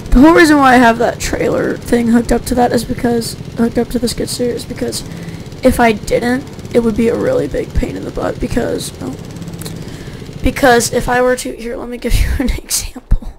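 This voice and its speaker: Chatty woman with a gravelly, sore voice. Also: on the high side, fairly even intonation, moderate pace, noisy background. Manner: rambling, self-correcting, chatty. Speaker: gaming YouTuber. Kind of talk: casual gameplay commentary.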